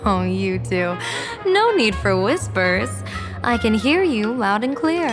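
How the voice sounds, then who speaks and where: Enigmatic, slow-talking seer strategist over music. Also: female voice, medium pitch, big pitch swings. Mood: lightly amused. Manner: measured, musing, theatrical fortune-telling delivery with pauses. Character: enigmatic, playful female seer strategist and general. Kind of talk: English video game voice acting. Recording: music under the voice.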